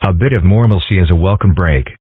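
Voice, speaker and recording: A man plays a young cartoon fox who muses calmly and wryly. The voice is low and slightly rough, with deadpan, measured delivery. The audio is dry and phone-thin. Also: dry recording. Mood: calm, musing. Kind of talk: comic strip dub. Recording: muffled, phone-like narrow audio.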